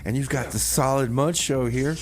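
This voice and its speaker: A man's voice: mid-range voice, normal speed, slight background noise. A man hosting a radio show, casually announcing songs and bantering in comedy bits.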